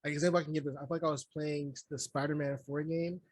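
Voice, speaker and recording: A man's voice: a little high, little pitch movement, fast talker, no echo, studio quality. A man co-hosting a movie review podcast, chatting casually in slang, cursing freely and repeating phrases to make his point.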